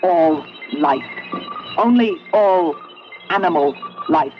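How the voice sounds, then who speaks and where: An actress playing a sinister, coldly persuasive machine made of metal in a 1970s radio drama, speaking deliberately and commandingly. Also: female voice, fairly low pitch, lively intonation, dull audio, music in the background. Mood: menacing persuasion. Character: sinister, coldly persuasive sentient metal computer demanding obedience.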